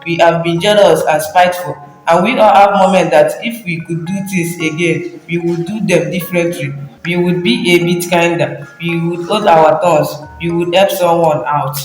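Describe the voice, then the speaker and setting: A man's voice: on the high side, fairly even intonation, moderate pace. A young man giving a scripted devotional narration for a nativity play. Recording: echoey hall, long reverb, music underneath.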